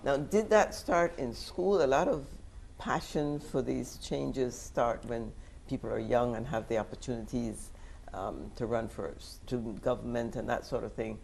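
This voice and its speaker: Gravelly-voiced male interview host. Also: mid-range voice, measured pace, a little mellow, a little hiss or hum. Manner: encouraging, frequent murmured agreement. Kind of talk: casual interview questions.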